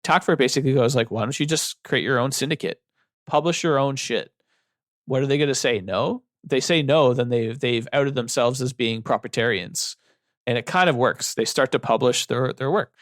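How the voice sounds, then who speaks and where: A man's voice: mid-range voice, moderate pace, no room sound, studio quality. A young man co-hosting a book club podcast, talking casually with lots of fillers and loose, rambling sentences. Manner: relaxed, rambling with many fillers.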